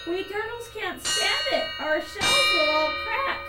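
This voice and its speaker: A plain little turtle underling from a storybook, played by a woman, pleading politely with a groan. The voice is high and lilting, in an echoey space with loud music. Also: animated, slow, gravelly, full character voice. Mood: pained, protesting. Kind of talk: rhymed storybook read-aloud. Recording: roomy echo, distant, loud music behind.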